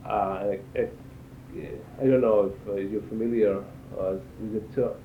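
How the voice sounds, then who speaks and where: An older man from Israel giving reflective interview answers, haltingly, repeating phrases as he searches for words. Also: steady tone. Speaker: interviewee, cello teacher and performer. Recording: echoey, tinny band-limited sound with faint rumble.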